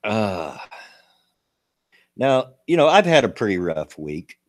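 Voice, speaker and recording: A man in his late fifties with a low, gravelly voice hosts a casual livestream. He rambles in a folksy way, restarting his sentences as he reads out local news. The sound is close and dry. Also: very animated, takes it slowly, no echo. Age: 50s. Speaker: show host.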